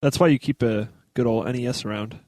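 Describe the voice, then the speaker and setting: A man's voice: mid-range voice, quick pace, darkish tone, no room sound. A man on a talk show crew, chatting casually and explaining things in a hesitant, good-natured way. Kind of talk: casual chat.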